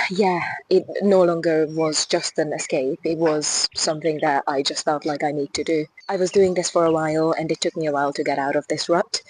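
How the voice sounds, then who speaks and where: A woman's voice: low-pitched, darkish tone, breathy edge, rough recording. A young woman from Hungary with a Hungarian accent, chatting enthusiastically to camera as she walks along a river path. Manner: chatty and enthusiastic, with restarts and light laughs. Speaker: fitness ambassador. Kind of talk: casual vlog while walking.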